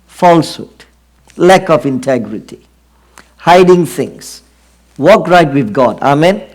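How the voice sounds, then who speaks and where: A middle-aged man from Singapore with a Singaporean accent, preaching an earnest sermon and often pausing on fillers. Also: fairly high pitch, lively intonation. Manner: earnest, drawn-out emphasis with frequent fillers.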